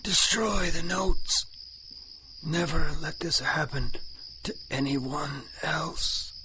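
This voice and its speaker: Dying former monster for radio serial: extremely slow, faint broken phrases, full of remorse, noisy background. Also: male voice, a little high. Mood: dying, remorseful. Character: dying, remorseful man freed from monster form. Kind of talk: 1930s-style adventure radio acting.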